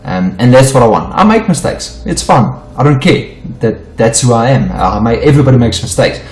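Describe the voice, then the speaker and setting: A man's voice: mid-range voice, lively intonation, normal speed, darkish tone, echoey room, soft background music. A middle-aged South African man whose first language is Afrikaans, walking through trading charts in an unedited lesson, stumbling and repeating himself as he explains. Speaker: forex trading teacher. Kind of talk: unedited screen-share chart walkthrough.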